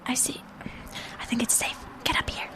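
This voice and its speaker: A woman playing an anxious but determined young girl in a radio drama, speaking in a hushed, urgent way. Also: normal speed, whispered, natural voice. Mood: hushed, alert. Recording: music behind.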